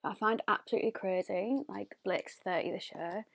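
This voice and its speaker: Chatty woman in her twenties, hushed. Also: medium pitch, normal speed, vocal fry, no echo. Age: 20s. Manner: chatty, often drops to a hushed near-whisper. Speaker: beauty YouTuber. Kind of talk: casual makeup tutorial. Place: home, indoors. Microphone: close mic.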